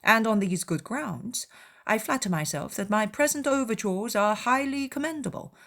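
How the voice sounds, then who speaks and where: A woman's voice: a little high, fairly bright tone, pitched above the performer's own voice. Woman acting a pompous, obsequious young clergyman. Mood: self-important. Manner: pompous, formal, deliberate.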